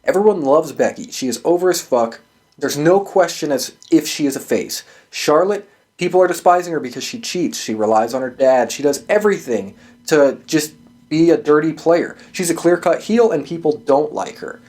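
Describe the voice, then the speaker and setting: A man with a somewhat higher-pitched voice speaks as the host of a commentary show. He is casual and opinionated, talking off the cuff. His delivery is emphatic and freely profane. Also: animated, moderate pace, a little mellow. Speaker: host of a wrestling prediction show.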